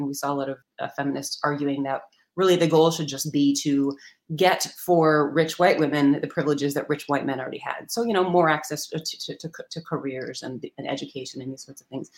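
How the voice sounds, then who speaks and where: A woman author explaining ideas in an interview, talking quickly with lots of hedging and restarts. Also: low voice. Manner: rapid, hedging, many restarts. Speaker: guest author.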